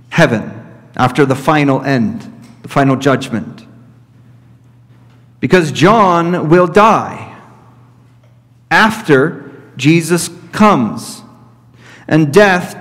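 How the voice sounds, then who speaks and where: A man in his thirties, a pastor preaching an expository sermon from a pulpit, teaching deliberately and repeating his key points. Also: a little high, fairly bright tone. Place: church sanctuary at a pulpit. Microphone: fixed camera at middle distance. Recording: reverberant church hall.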